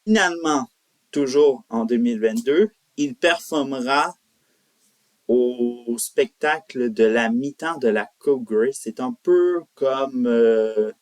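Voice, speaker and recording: A man hosting a music profile, narrating informally and haltingly, correcting himself as he goes. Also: mid-range voice, dry recording. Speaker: host of a country music profile show. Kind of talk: semi-scripted biographical narration to viewers.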